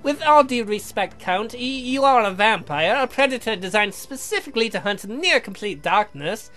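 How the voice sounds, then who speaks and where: A man voicing a polite, pedantic young scientist in a visual novel, speaking formally and haltingly as he explains. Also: sits high, expressive, sharp tone, pitched above the performer's own voice. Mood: deferential. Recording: music behind the voice.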